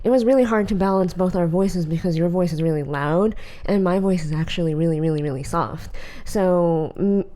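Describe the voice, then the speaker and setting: A soft-spoken woman co-hosting a podcast, chatting casually in a quiet voice. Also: lowish voice, moderate pace, few pauses. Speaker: podcast co-host. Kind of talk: casual chat.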